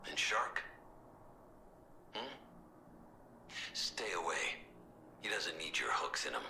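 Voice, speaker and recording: An actor playing a gruff, guarded military squad leader in a video game, terse and suspicious. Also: male voice, low-pitched, big pitch swings, takes it slowly, sharp tone, roomy sound, slight background noise, full character voice. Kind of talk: video game drama acting.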